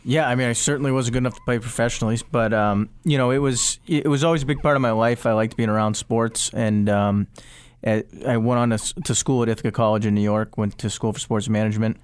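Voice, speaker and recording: A man from New Hampshire speaks as a radio interview guest, easygoing and chatty. His voice is smooth and clear at a middle pitch. The studio sound is dry. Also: steady tone, fast talker, no echo. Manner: easygoing, fillers like you know. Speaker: sports team executive guest.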